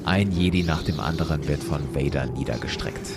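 A man's voice: rumbling low, brisk. A man hosting a podcast who retells a story with vivid, dramatic narration. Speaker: podcast host. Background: music.

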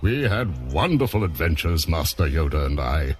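An actor playing a grave elderly count in an audio drama. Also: male voice, rumbling low, sing-song, normal speed. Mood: nostalgic.

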